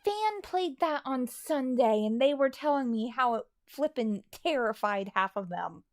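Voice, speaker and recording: A woman's voice: sits high, expressive, a little slow, dry recording, clean studio sound. A woman co-hosting a casual livestream, chatting and answering questions from viewers. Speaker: co-host of a livestream.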